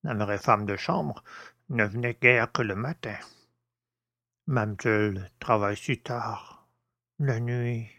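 A man's voice: on the low side, slow pace, pauses often, pushed and bright, no echo, lo-fi sound, full character voice. A man playing a fussy, plain-spoken old household servant in an audiobook. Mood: plain, explanatory. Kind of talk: audiobook character dialogue.

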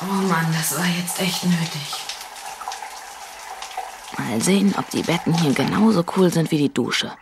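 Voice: medium pitch, a little slow, creaky, long pauses, background noise. An actor playing an indignant, streetwise twelve-year-old boy on the run, muttering his thoughts to himself in a radio drama. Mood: relieved. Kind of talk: radio drama monologue, thinking aloud. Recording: studio radio drama with loud music.

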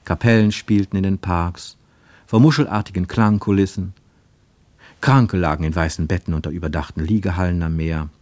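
A man narrating a literary radio play with expressive, deliberate phrasing. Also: sits low, no echo.

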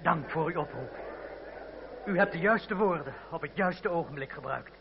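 An actor playing a grateful, worried revolutionary citizen in a radio drama, speaking formally, solemnly and politely. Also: male voice, a little high, normal speed, vocal fry. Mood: grave, courteous. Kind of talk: old Dutch radio drama acting, formal and measured. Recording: dull, noisy old radio play recording.

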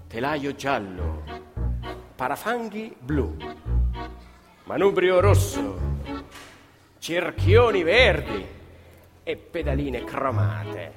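An actor playing an Italian factory worker, telling of strikes in a colloquial, theatrical radio monologue. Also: male voice, on the high side, wildly swooping pitch, extremely slow, pauses often, full character voice. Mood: wistful. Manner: colloquial, dramatic, acts out remembered dialogue. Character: fiery Italian factory worker recounting strikes and police charges. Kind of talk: theatrical monologue in a live radio play with music. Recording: live music under the voice. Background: live musical instruments.

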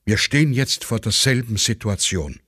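A man's voice: lowish voice, expressive, normal speed, fairly bright tone, slightly rough, dry recording, studio quality. A man playing a grave army major who reports in formal military language and pleads against hopeless orders. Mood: grave, urgent.

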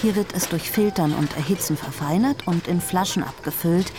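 Woman acting a businesslike colonial trader lady, low voice, composed radio play narration, noisy ambience. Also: moderate pace, natural voice. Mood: matter-of-fact. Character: businesslike colonial trading-company owner reporting on a supplier.

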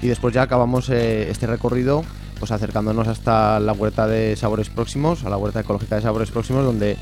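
A man with an accent from Spain speaking spontaneously as an interview guest, with frequent filled pauses. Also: on the low side, steady tone, darkish tone, faint music behind. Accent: Spanish (Spain).

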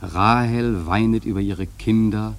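A man playing a grave sailor who narrates a whaling voyage in a German radio drama, solemn, measured and husky. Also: on the low side, fairly even intonation.

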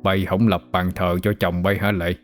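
A man's voice: low voice, flat delivery, normal speed, soft background music, natural voice. A man voicing an elderly village ritual master, stern but kindly, in a Southern Vietnamese accent, in an earnest, folksy, admonishing way for an audio story.

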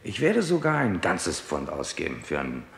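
Shrewd, wry police chief, very low and animated, courteous, measured, vintage roomy radio drama sound. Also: male voice, vocal fry, full character voice. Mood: wry, genial. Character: shrewd, courteous male chief inspector, gently ironic. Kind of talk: 1960s German radio crime drama acting. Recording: roomy 1960s radio recording, faint noise.

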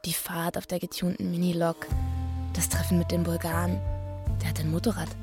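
A woman's voice: on the low side, flat delivery, fairly quick, music in the background, close to an everyday voice. A woman playing a dry-witted, laconic, easygoing young backpacker in a German radio drama. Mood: curious.

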